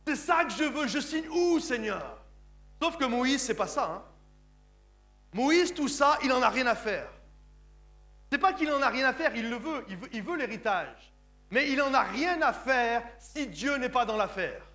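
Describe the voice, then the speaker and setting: A man's voice: very high-pitched, animated, measured pace, sharp tone, faint music behind. A French man of Sicilian descent, a pastor preaching a sermon in church with emphatic delivery, often asking his congregation if they understand. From France, of Sicilian parents. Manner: emphatic, repeatedly asks the congregation "Comprenez-vous?".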